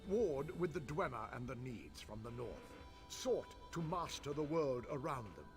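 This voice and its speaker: An actor playing a scholarly, impatient fantasy-game mage who muses pedantically and talks down to people. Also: male voice, mid-range voice, expressive, a little slow, over music. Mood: condescending. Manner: pedantic, musing, condescending. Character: scholarly elf mage, fascinated by spirits, impatient. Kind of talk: video game character acting.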